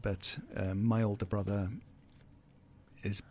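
A man's voice: fairly low pitch, flat delivery, takes it slowly. A man in his sixties with a South African accent, a guest in a casual interview who hesitates, restarts and repeats words while speaking earnestly.

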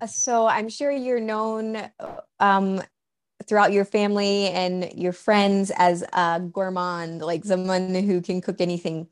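Woman interviewer, unhurried delivery, curious open questions. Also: medium pitch, little pitch movement, clear, resonant tone. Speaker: interviewer, host. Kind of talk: casual interview.

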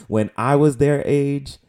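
A man's voice: medium pitch, fairly even intonation, extremely slow, dark tone. A man in his late twenties with a New York accent, talking loosely and profanely as host of a solo podcast. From the Bronx, New York. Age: late 20s. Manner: loose, profane, rambling with many fillers. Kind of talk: casual solo podcast talk.

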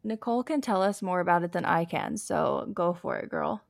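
Young female podcast host, chatty, allergy-scratchy and sniffly, gravelly fry, dry room. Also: on the low side, moderate pace, dry recording. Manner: chatty, lots of fillers. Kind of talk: casual chat.

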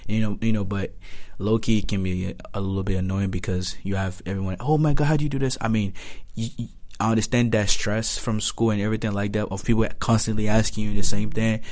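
A man's voice: fairly low pitch, fairly even intonation, fast, few pauses, a little mellow. A young man from Nigeria with a Nigerian accent, talking earnestly as a student guest in a casual conversation. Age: young adult. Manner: earnest, repeats himself, acts out quotes.

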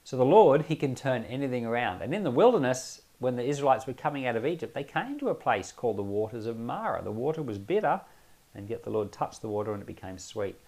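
A man's voice: mid-range voice, big pitch swings, fast talker, darkish tone. A man speaking as a pastor, giving informal Bible teaching in a conversational, explanatory way with emphatic repetition. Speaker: pastor and Bible podcast host.

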